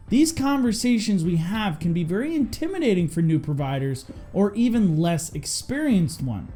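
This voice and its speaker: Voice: medium pitch, warm tone. An EMS instructor hosting a scripted lesson, speaking in an emphatic, direct way to fellow providers. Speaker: EMS instructor and video host.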